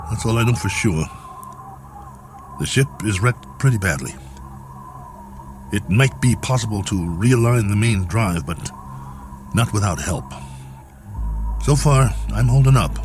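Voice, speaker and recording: Low-voiced stranded space pilot. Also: male voice. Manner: terse, weary log-keeping and urgent radio calls. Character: stranded space colonel, male pilot, injured but stoic. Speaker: actor in lead role. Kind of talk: dramatic radio play acting.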